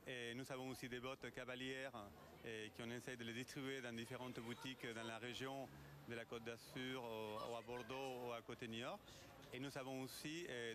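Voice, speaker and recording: Guy w italian/spanish accent being interviewed, bit halting, lots of noise around him. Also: mid-range voice. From Argentina. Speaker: leather goods designer being interviewed. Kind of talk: interview, telling about own work. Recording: noisy on-location event sound.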